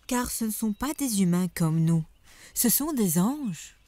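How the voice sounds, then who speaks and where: A woman's voice: mid-range voice, lively intonation, unhurried, dark tone, dry recording, lightly acted. A woman voicing a devout, knowing maidservant in a dubbed cartoon, explaining things earnestly. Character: devout, knowing maidservant who shares holy news. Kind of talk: cartoon dubbing.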